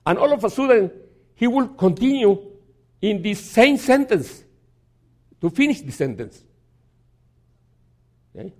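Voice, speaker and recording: A man giving a sermon as a guest preacher in a church, telling a story in a halting way with many restarts and some gentle humor. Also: sits high, big pitch swings.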